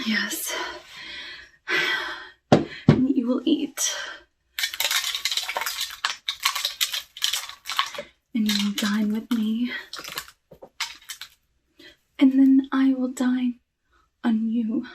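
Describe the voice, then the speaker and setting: A woman voicing a sinister, cursed flesh-eating forest witch in a hushed, breathy ASMR roleplay, often drifting into whispers. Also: medium pitch, far from the mic, a little hiss or hum, poor audio. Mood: menacing. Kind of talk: ASMR roleplay, soft talking.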